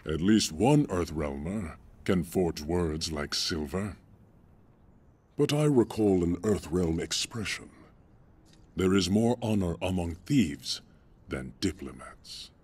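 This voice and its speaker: Stern warrior emperor for a fantasy fighting game: very low voice, unhurried, proud and formal. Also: male voice, animated, a little mellow, slightly rough, heavily acted. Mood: cold. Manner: formal, imperious, measured speech. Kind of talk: video game cutscene acting.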